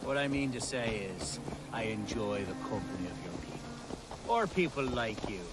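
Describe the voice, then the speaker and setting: A man's voice: fairly low pitch, expressive, moderate pace, over loud music, close to an everyday voice. An actor playing a nervous, chatty, rambling Anglo-Saxon nobleman in a video game cutscene. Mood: awkward chatty. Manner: rambling, fussy, flustered, effusive.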